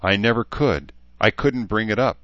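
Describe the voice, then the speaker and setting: A man's voice: on the low side, normal speed, fairly bright tone, no echo, muffled recording, pitched above the performer's own voice, lightly acted. A man voicing a vulnerable, hesitant human woman who gives short answers in an audiobook story. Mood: hesitant, subdued.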